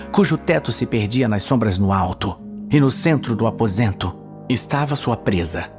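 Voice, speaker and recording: A man's voice: on the low side, a little mellow. A man with a Brazilian accent narrating a scripted fantasy audio story in a dramatic style. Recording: voice over a music soundtrack. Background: music and sound effects.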